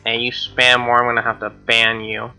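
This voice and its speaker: A man's voice: mid-range voice, monotone, moderate pace, sharp tone. A young man streaming a game, reading a visual novel aloud with casual reactions, recorded on a lo-fi microphone. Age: young adult. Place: small room indoors. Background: game music.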